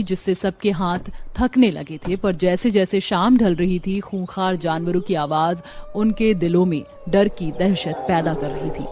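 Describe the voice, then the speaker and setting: A woman's voice: medium pitch, mellow, slight background noise. Woman narrating a dramatic story.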